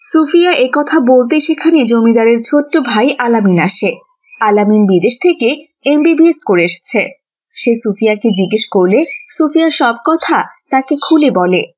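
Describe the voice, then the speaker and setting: A woman narrator tells a scripted story for a children's cartoon. Her voice is on the high side and noticeably husky. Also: lively intonation, moderate pace.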